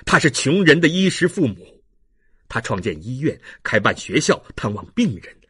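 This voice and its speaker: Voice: mid-range voice, sing-song, a bit bright, slightly rough, heavily acted. An audiobook narrator voicing a stern, contemptuous young baron with a commanding manner. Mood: stern, assured. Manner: commanding, deliberate.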